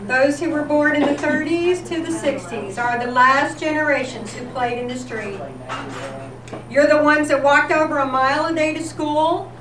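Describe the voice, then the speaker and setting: A high-pitched woman reads a scripted, nostalgic history as an event presenter. She sounds distant from the mic, in a reverberant room. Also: normal speed, crowd noise. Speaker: presenter at a volunteer appreciation event. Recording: echoey room, distant mic, background noise.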